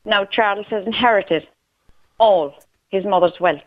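A woman's voice: medium pitch, measured pace. Blunt woman caller, muffled line. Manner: blunt, argumentative. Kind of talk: call-in radio talk. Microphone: phone.

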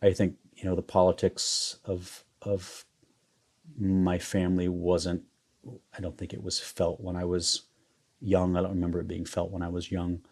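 A man's voice: low-pitched, monotone, normal speed, darkish tone. A man in his forties from the US Midwest, talking reflectively in an interview with many fillers and restarts. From Iowa, US Midwest. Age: 40s. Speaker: photographer guest.

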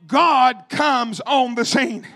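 Guy preaching a fiery revival sermon, really high voice, sharp and forceful, hammers phrases over and over. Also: drawn-out words. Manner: emphatic, repetitive, rhythmic exhortation; calls out to the congregation.

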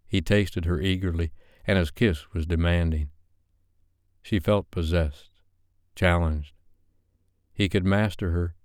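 A man reads romance fiction as an audiobook narrator. His voice is low, and the delivery is dramatic storytelling. The recording is very dry. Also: clean tone, dry recording.

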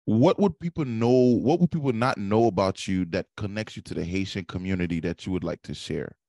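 A man's voice: on the low side, a little fast, warm tone, no echo, studio quality. A man hosting a podcast interview, explaining things at length in a long-winded way. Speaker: podcast host.

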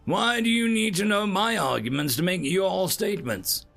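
A man's voice: high voice, normal speed, creaky, a bit bright, faint music behind, pitched above the performer's own voice. A man voicing a self-assured, airy and curt alien senior observer in a science fiction story. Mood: curt, firm. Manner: firm, dismissive.

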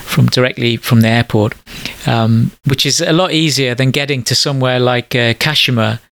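A man's voice: medium pitch, steady tone, moderate pace, gravelly, a bit bright. A middle-aged man hosting a podcast, chatting loosely over a call and repeating words while he thinks. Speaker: podcast host. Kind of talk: casual chat over a call. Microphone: call audio.

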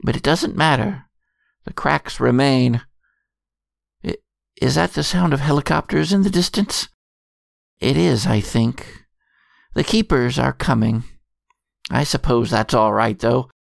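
Man voicing a gravelly, weary keeper of secrets. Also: medium pitch, dry recording, clean studio sound. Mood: rueful, then resigned, grim. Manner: measured, portentous monologue. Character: weary secretive keeper of lore, doomed and resigned. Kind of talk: scripted dramatic narration.

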